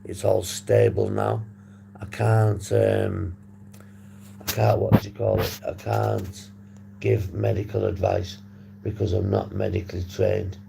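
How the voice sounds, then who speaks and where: A man hosting a casual livestream, hoarse and husky, rambling and swearing as he reads the chat. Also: fairly low pitch, lively intonation, slow pace. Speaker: livestream host. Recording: noisy background hum.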